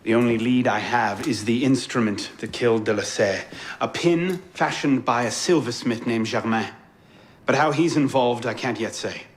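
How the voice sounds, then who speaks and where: An actor playing a resolute, guarded assassin in a video game, speaking in a terse, controlled way. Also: male voice, mid-range voice, normal speed, natural voice. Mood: stern, focused. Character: resolute, guarded male assassin investigator on a revenge hunt. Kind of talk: cinematic game voice acting. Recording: loud music behind. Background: loud game score.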